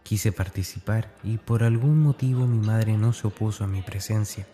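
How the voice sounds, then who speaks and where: Man acting a mellow-voiced, tormented schoolboy diarist. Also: fairly low pitch, moderate pace, faint music behind, lightly acted. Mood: weary, melancholic. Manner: brooding, hesitant, intimate, dramatic. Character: tormented schoolboy diarist with growing dark streak. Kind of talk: confessional diary monologue in horror audio drama.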